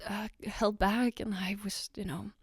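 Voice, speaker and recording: A woman playing a caring, flustered girlfriend in an ASMR roleplay, speaking gently and haltingly to comfort her partner. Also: medium pitch, steady tone, breathy edge, no echo, studio quality. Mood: soft, coaxing. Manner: hesitant, gentle, reassuring, halting. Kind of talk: ASMR roleplay, spoken to the listener.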